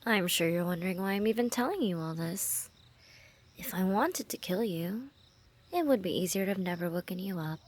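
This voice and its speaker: A woman playing a lovestruck assassin in an intimate ASMR roleplay, teasing and menacing, speaking softly and often dropping into whispers. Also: lowish voice, animated, moderate pace. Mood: amused, confiding.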